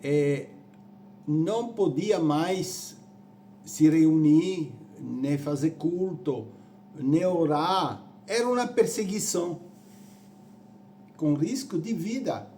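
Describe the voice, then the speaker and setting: A man from Rome with an Italian accent, preaching in halting, deliberate phrases with repetitions. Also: a little high, dark tone, slight background noise. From Rome, Italy. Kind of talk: sermon on church history.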